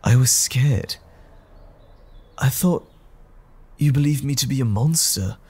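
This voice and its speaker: Casting: male actor as troubled gentleman scholar, medium-pitched and husky, formal, hesitant, earnest, for audio roleplay. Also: slow. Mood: remorseful, earnest. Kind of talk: audio roleplay drama acting.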